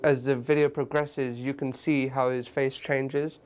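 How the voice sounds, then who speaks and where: A man's voice: mid-range voice, little pitch movement, measured pace, darkish tone, clear, resonant tone. A young man with an English accent chatting loosely in a documentary interview, with many fillers.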